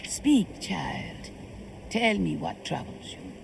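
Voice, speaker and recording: A woman playing a wise, stern older religious mentor in a video game, speaking in a measured, solemn, breathy way. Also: lowish voice, very animated, noisy background, full character voice. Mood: calm counsel.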